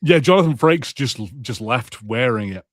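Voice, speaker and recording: Male podcast co-host, medium pitch, dry recording, casual and anecdotal. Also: lively intonation, normal speed, dry recording. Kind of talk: casual chat.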